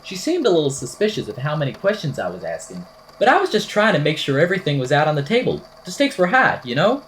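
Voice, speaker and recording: A woman's voice: deep voice, huge pitch swings, fast talker, slight background noise. A woman playing an eccentric, whimsical podcaster in an amateur audio drama, telling a strange tale in a verbose, wry, theatrical way. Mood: storytelling, wry. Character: eccentric, whimsical podcaster recounting a supernatural statement.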